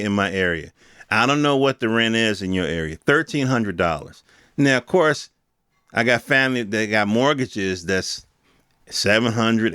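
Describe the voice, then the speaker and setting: Smooth-voiced middle-aged American man, casual podcast rant. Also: lowish voice, sharp tone, dry recording, studio quality. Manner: informal, streetwise, opinionated, emphatic with swearing. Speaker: podcast host. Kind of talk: casual rambling monologue commentary.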